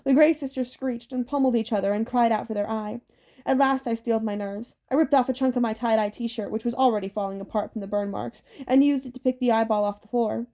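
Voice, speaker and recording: Higher-pitched, mellow woman narrator. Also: fast talker. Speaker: audiobook narrator. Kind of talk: scripted story narration.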